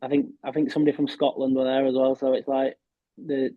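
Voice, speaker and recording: A Yorkshire man talks in a relaxed, modest way, often restarting his sentences. His delivery stays fairly flat. The sound is dry and tinny, like a phone line. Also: mid-range voice, fast, no room sound. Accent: Yorkshire English. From Yorkshire, England. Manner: chatty, modest, frequent restarts and "were" for "was". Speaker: professional darts player, guest. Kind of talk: casual podcast interview.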